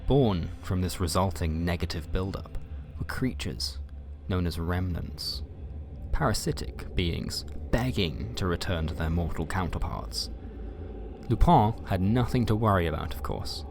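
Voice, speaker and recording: A man narrating a scripted fantasy story in a measured, dramatic way with wry asides. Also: deep voice, lively intonation, background noise. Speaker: storyteller, narrator of a fiction podcast.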